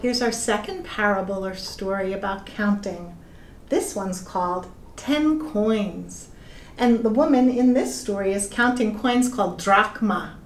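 A middle-aged woman reading a children's story aloud in a deliberate, expressive way, addressing young listeners. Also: medium pitch, a little hiss or hum. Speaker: pastor reading stories to children. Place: indoor room with plain walls. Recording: echoey room.

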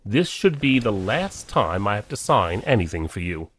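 A man's voice: on the low side, sing-song, normal speed, a bit bright, slightly rough, full character voice. An actor in an audio drama playing a confident, restless male scientist with an assured manner.

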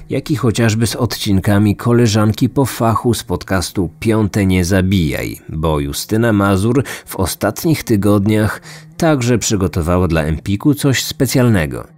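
A young man hosting a true crime podcast, reading scripted narration in a measured, deliberate way. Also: fairly low pitch, darkish tone. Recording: music bed behind the voice.

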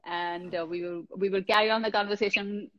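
A chatty woman in her thirties hosts a relaxed video-call interview, laughing easily between questions. Her voice sits at a middling pitch and comes through slightly dull laptop audio. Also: fairly quick. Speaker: host. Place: indoor room, in the desert.

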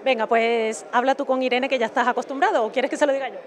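A woman in her thirties reporting live from a conference lobby, prompting her guest briskly into a handheld mic. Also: a little high, steady tone. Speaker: TV field reporter. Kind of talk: live on-location interview. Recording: crowd chatter in a busy lobby.

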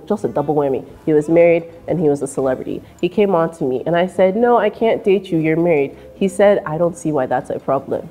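A woman in her twenties with an American accent, giving sassy, animated advice straight to camera in an indoor room. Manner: sassy and animated, mimics voices in high squeals. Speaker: vlogger. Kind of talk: direct-to-camera advice vlog. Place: indoor room with painting behind.

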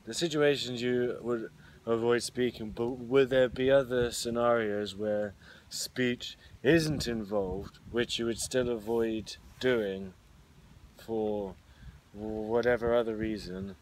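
Young man, unhurried and halting, mid-pitched, recorded outdoors by a far camera. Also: fairly even intonation, slight background noise. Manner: hesitant, searching for words. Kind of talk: casual group discussion. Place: outdoors at a garden table.

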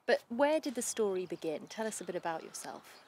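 A woman's voice: medium pitch, big pitch swings, fairly quick, a little mellow, a little hiss or hum. A woman interviewing a rider outdoors, asking brief, direct questions. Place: outdoor terrace by a house.

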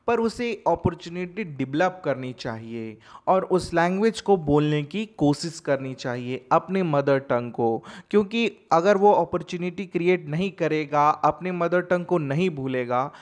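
A man explains a poem line by line, speaking slowly and deliberately. His pitch sits a little higher than most men's. He reads each verse line at a crawl, then explains it in a mix of Hindi and English. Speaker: teacher. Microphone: voice-over over text slides.

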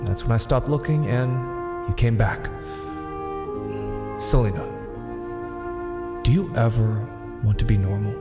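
An actor playing a brooding, weary vigilante in an audio drama, guarded and terse. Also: male voice, mid-range voice, unhurried, pauses often, natural voice. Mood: guarded, regretful. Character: brooding, weary male vigilante in his private life. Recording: reverberant space under music.

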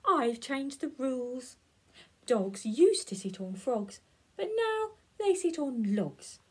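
A woman voicing a smug, bossy male frog in a read-aloud picture book, loud and high. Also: big pitch swings, slow pace, slightly rough, full character voice.

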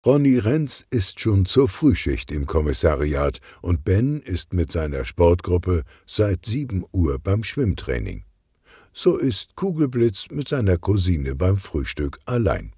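A man narrating a scripted children's audiobook in an expressive, deliberate storytelling manner. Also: low voice, husky, dry recording, clean studio sound.

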